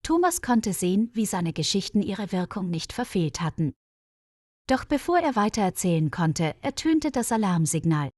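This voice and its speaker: A woman's voice: mid-range voice, sing-song, moderate pace, a little mellow, dry recording. Woman narrating with a dry, close sound. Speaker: storyteller for German learners. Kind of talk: scripted audiobook narration.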